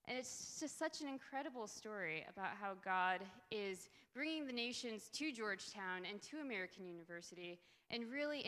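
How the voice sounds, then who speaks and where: A woman's voice: mid-range voice, a bit bright. A woman who works in a campus ministry, earnestly telling a story in a speech that introduces the next speaker. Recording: long hall reverb.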